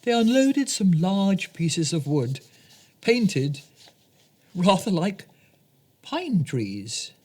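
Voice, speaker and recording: A woman storyteller reads a children's tale at a very unhurried pace. Her voice is low for a woman, and her delivery is gentle and deliberate. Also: big pitch swings, breathy edge. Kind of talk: scripted children's story narration.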